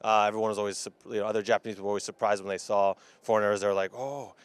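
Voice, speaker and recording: A man from Florida with an American accent answering interview questions casually, with halting, hesitant phrasing. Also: on the low side, monotone, clean tone, no echo. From Florida, United States.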